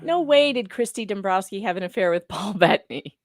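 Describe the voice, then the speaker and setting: A woman's voice: medium pitch, animated, a little fast, no room sound, studio quality. A woman chatting casually as a guest co-commentator, playful and giggly in manner. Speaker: guest co-commentator reading parts. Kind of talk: casual chat and dramatic reading.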